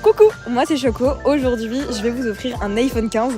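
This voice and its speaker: A woman's voice: fairly high pitch, brisk. A young woman YouTuber talking playfully to her viewers in vlog clips. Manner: bubbly, playful, addresses viewers. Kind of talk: upbeat vlog and skit talk. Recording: music under the voice.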